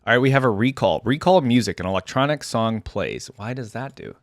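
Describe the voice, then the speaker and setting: A man's voice: mid-range voice, lively intonation, brisk, no room sound, studio quality. A man giving casual game reaction commentary in an informal, chatty way, thinking aloud as he goes. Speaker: reaction video creator.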